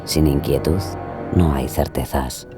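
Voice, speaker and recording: A man delivering scripted true-crime narration in a solemn, measured manner. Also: extremely low pitch, steady tone, music in the background.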